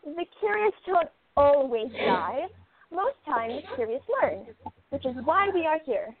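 A woman's voice: high-pitched, sing-song, a little slow, a crowd in the background, full character voice. A woman playing a curious, confident exiled princess turned sleuth in a radio play. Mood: breezy. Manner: confident, insistent. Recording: thin phone-like sound, noisy line.

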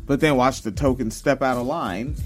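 A man in his thirties with a fairly high-pitched voice, co-hosting a casual reaction podcast with profane, laughing banter. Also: moderate pace, soft background music. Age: 30s. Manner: animated, profane, laughs often. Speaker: podcast co-host.

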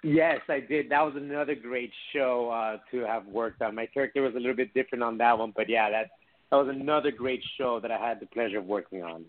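A man raised in Miami by Cuban parents, an actor guest chatting casually in an interview, friendly and halting with many restarts. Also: medium pitch. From Miami, Cuban American. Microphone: phone call. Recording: tinny phone line.

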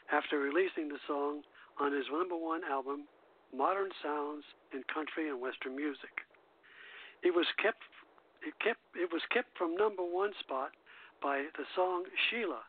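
A man's voice: a little high, husky. A man hosting a radio show, reading prepared notes on song history and now and then stumbling over his words. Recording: thin, phone-like narrow-band audio.

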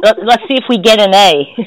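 A woman's voice: mid-range voice, background noise. A woman hosting a spiritual talk, speaking in a thoughtful, searching way and often correcting herself. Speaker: host. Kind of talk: reflective casual conversation.

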